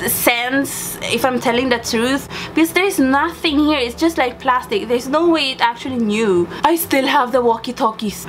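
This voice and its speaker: High-voiced young woman, playful vlogger. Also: fairly bright tone, slight background noise, low-quality recording. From Sweden. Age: young adult. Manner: playful, exclamatory, slangy. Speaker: vlogger showing childhood belongings. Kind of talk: casual chat to camera.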